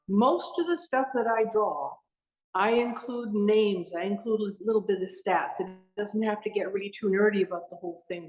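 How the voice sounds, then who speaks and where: Woman from Sweden and Norway, guest artist, earnest and passionate, echoey exhibition space. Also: mid-range voice. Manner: earnest, passionate, with frequent restarts. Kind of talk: casual interview talk. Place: at her art exhibition. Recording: echoey room.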